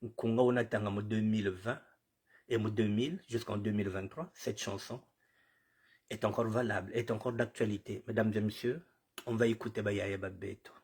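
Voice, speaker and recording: Husky man with a cold. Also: fairly low pitch, steady tone, moderate pace, darkish tone. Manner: formal, repetitive greetings, mixing in Lingala. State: has a cold. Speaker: online show host. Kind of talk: live commentary show.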